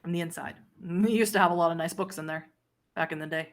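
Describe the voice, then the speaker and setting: A woman's voice: fairly low pitch, quick pace, lightly acted. A woman voicing a desperate, unkempt vampire runaway who speaks warily and insistently in a tabletop roleplay game. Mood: conspiratorial.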